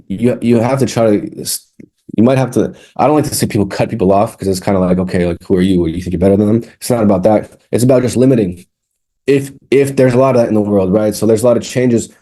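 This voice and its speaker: Man, 30s, interview guest; low-ish mellow voice, rambling and candid. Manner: rambling, frequent restarts and fillers, candid. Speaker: music producer, guest. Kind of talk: casual interview chat.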